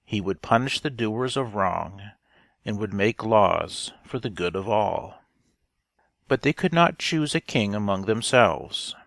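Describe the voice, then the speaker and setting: A man reading a storybook narration aloud in a measured, deliberate manner. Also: lowish voice, expressive, vocal fry, a bit bright, no echo. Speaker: audiobook reader.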